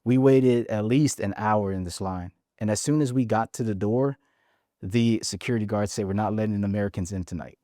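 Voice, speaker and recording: A man's voice: fairly low pitch, a little fast, warm tone, no echo, clean studio sound. A man from Hawaii in his forties, a podcast guest frankly telling his story and often restarting his words. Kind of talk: casual interview storytelling.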